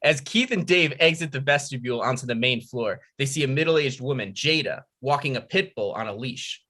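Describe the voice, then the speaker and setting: Casting: male script narrator, medium pitch, forward bright tone, reading scene headings and action. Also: expressive, brisk. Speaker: narrator reading stage directions. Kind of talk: scripted narration at a table read.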